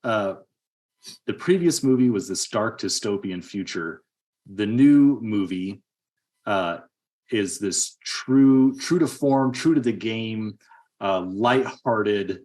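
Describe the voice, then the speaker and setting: Male podcast co-host, lower register, casual and argumentative movie talk. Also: slow pace, long pauses, a little mellow.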